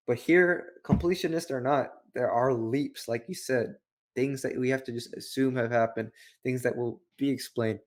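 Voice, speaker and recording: A man co-hosting a review show, talking casually and giving opinions in a rambling way full of rhetorical questions. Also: medium pitch. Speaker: co-host of a book review show.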